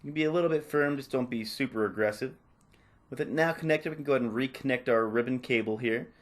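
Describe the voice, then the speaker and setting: A man narrating a step-by-step tutorial off the cuff, in a casual, instructional way with softened phrasing. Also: medium pitch, quick pace. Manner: casual, instructional, hedging with "kinda" and "a little bit". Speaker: repair tutorial presenter.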